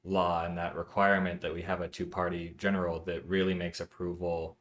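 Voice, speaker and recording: A man's voice: sits low, flat delivery, a little fast, a bit bright. A man hosting an interview, setting up questions in an earnest, explanatory way. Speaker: channel host, interviewer.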